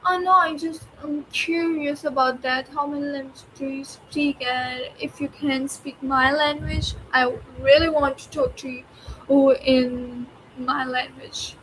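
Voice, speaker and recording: A woman's voice: high-pitched, fairly even intonation, a little slow, a bit bright, slight background noise, poor audio. A woman from Pakistan with a Pakistani accent, a native Urdu speaker chatting casually in hesitant English full of fillers. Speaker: learner chatting with an AI assistant. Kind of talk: casual chat.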